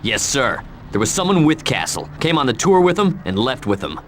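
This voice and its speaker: A man's voice: medium pitch, very animated, normal speed, fairly bright tone, a little hiss or hum. An actor playing a deferential male subordinate in a video game, reporting in a matter-of-fact way. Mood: dutiful. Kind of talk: video game cutscene acting.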